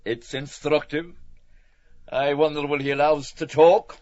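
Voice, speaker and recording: A man's voice: a little high, steady tone, measured pace, darkish tone, lo-fi sound, natural voice. An actor playing an irascible, sardonic, hard-up middle-aged Irish father in a literary audio drama, blustering and mocking with theatrical flourish. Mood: sardonic, grudging.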